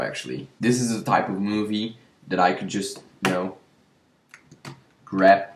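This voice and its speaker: A young man chatting casually as host of a movie review video, recorded indoors at a desk. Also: deep voice. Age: young adult. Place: small room with shelves, indoors. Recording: roomy indoor echo.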